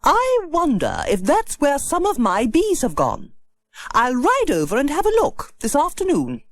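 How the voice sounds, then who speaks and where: A woman voicing a brisk, confident, no-nonsense country beekeeper in a children's storybook. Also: sits high, wildly swooping pitch, no room sound, full character voice. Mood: brisk, unworried.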